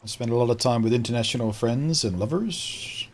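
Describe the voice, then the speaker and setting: A man's voice: mid-range voice, a little fast, a little mellow. A man with an Australian accent streaming a game from home, chatting casually and answering viewers' comments as he plays. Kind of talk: casual live-stream chat while playing.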